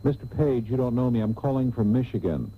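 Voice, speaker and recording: A man's voice: mid-range voice, fairly quick, slight background noise, lightly acted. An actor playing a naive, insistent man phoning with a crackpot idea in a comic radio ad, earnest and oblivious. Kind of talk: comic radio advertisement sketch. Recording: dull, faintly humming radio tape.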